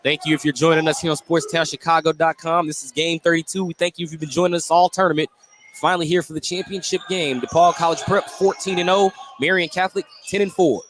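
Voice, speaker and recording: A man's voice: a little high, steady tone, fast, a bit bright. A man doing live basketball play-by-play for radio, describing the action in running detail and correcting himself as he goes. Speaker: play-by-play sports commentator. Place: indoor basketball gym. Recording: noisy live gym ambience.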